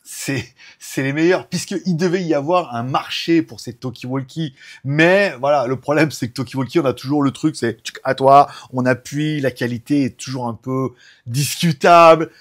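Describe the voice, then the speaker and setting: A man with a husky voice, chatting informally and ramblingly as host of a tech show. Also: on the high side, moderate pace. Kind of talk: casual tech chat.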